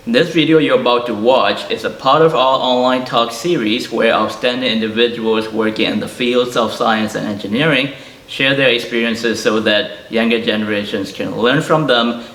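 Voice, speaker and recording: A man hosts an online talk series. His voice sits somewhat low. He asks his questions haltingly, with many ums and you-knows. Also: a bit bright, poor audio. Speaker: host. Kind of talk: webinar interview.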